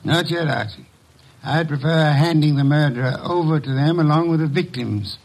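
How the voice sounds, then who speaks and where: An actor playing an imperious, dry older master detective in an old radio drama, on a noisy vintage recording. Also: male voice, a little high, normal speed, a little mellow. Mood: commanding. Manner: commanding, dry, clipped.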